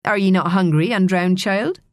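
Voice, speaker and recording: A woman's voice: medium pitch, normal speed, no echo, natural voice. A woman doing a brash, salty-tongued mermaid. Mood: brisk, hearty. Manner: blunt, old salty sailor slang.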